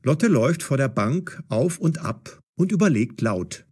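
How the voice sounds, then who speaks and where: A male narrator with a husky edge tells a scripted story at a slow pace. His intonation is very lively, and each phrase is deliberately and clearly pronounced. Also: mid-range voice. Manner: deliberate, clearly enunciated, expressive.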